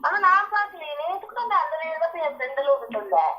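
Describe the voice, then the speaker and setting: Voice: extremely high pitch, very animated, fast talker, pushed and bright. A speaker arguing on the phone, protesting and asking the same questions again. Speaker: prank call recipient. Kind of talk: argumentative phone conversation. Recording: dull lo-fi phone call.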